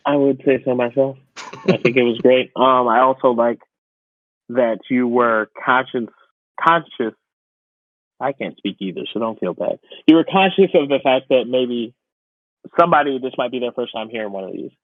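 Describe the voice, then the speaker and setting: A man's voice: mid-range voice, moderate pace, no room sound. A man talks casually as a podcast co-host, in colloquial phrases he often repeats for emphasis. His mid-range voice comes through dry and narrow, like a call over the internet. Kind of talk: casual conversational podcast chat. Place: remote, away from studio. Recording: tinny, phone-like internet call audio.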